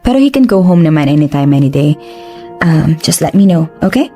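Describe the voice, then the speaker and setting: A low, mellow female voice plays as a woman plays a composed doctor questioning a patient. She is polite and reassuring, switching easily between English and Tagalog. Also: big pitch swings, fairly quick, soft background music. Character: calm, professional female doctor.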